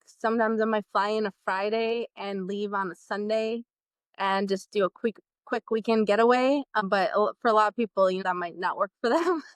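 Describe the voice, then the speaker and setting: A woman guest on a podcast talking about her group trips, friendly and eager, now and then pausing with an um. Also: medium pitch, clean tone, no echo. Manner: friendly, eager, a bit hesitant with ums. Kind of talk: casual interview chat.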